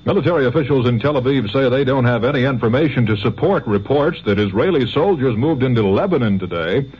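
A man's voice: mid-range voice, expressive, fast talker. American network newsman on muffled old radio. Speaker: network news anchor. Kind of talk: scripted radio news reading. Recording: dull, hissy 1970s radio recording.

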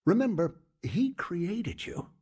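Slow, husky, earnest pastor. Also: male voice, high voice, very animated, exaggerated voice. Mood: patient. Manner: earnest, gently instructive, quotes scripture solemnly. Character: patient, earnest male pastor counseling a young woman. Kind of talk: audio drama dialogue, preaching.

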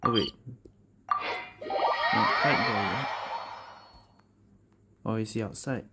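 Really low voiced girl gamer talking to herself, slow and chill. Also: heavy background noise. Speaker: video game streamer. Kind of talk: casual gameplay commentary. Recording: game music behind the voice.

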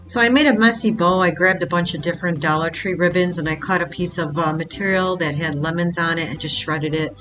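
A woman's voice: on the low side, fairly quick, few pauses, fairly bright tone, soft background music. A woman hosting a craft channel, talking casually step by step through a project in a voice-over, with the odd filler. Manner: plain step-by-step explaining with fillers.

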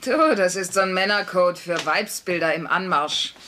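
A sardonic working-class sitcom mother, boastful and bossy. Her voice sits fairly low and her jokes come out dry. The dubbed soundtrack has clear background noise. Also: normal speed, bright, forceful tone. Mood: teasing. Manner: dry, wisecracking. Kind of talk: German dubbed sitcom acting.

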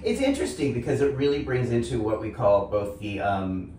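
A man hosting an interview from a bathtub, asking calm, probing questions. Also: medium pitch, brisk, slight background noise. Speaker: interview show host. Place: bathroom, sitting in a bathtub. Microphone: far camera. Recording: echoey bathroom, mic at a distance.

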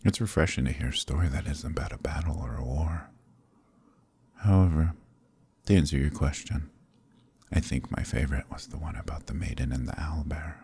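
Very deep, gravelly and dark, this is a suave, possessive demon prince, played by a man in an audio roleplay. He murmurs close to the mic in a husky, purring near-whisper, commanding and indulgent at once. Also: heavily acted. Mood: wistful. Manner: hushed, purring, often whispering. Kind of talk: ASMR roleplay.

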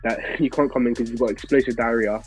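A man's voice: mid-range voice, fairly even intonation, fast, soft background music. A young man in casual banter, coaching his friend through pranks. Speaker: host's friend suggesting pranks.

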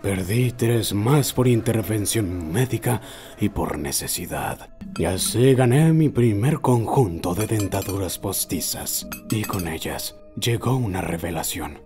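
A man voicing an obsessive old man who recounts his lifelong fixation in a solemn, deliberate horror narration. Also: fairly low pitch, animated, mellow, soft background music. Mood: brooding, solemn. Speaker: amateur narrator of a horror short. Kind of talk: scripted first-person horror narration.

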